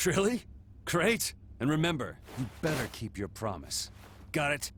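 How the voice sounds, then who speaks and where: A man's voice: fairly high pitch, sing-song, unhurried, a bit bright, a little hiss or hum, heavily acted. An actor playing a scrappy, defiant everyman hero in a video game, blunt and cocky. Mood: uneasy, insistent. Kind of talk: video game dialogue acting. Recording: echoey, music underneath.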